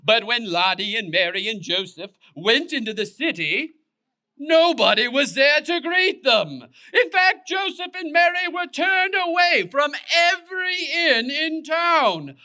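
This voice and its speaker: A man narrating a scripted children's puppet show story in an expressive, deliberate way. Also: very high-pitched.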